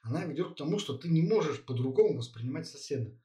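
A man talking quickly and pressing questions in a casual political conversation, with filler words all through. He sits back from the mic, and the room gives his voice an echo. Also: fairly high pitch, lively intonation, mellow. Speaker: co-host. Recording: echoey, a bit far from the mic.

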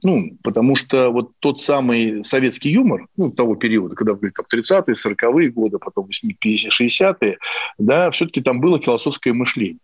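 A man's voice: mid-range voice, lively intonation, fast talker, breathy edge, dry recording, muffled recording. A man hosting an interview in speech, musing and emphatic, often checking for agreement as he builds his questions. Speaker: interview host.

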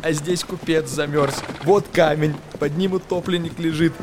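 A man's voice: on the high side, fairly even intonation, normal speed. A man, an actor, narrating a tale in dramatic verse for a radio play, with a theatrical storytelling manner.

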